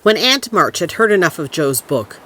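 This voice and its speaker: A woman's voice: fairly low pitch, very animated, normal speed, fairly bright tone, a little hiss or hum, poor audio. A woman narrator delivering scripted storybook narration for an audio play. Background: music.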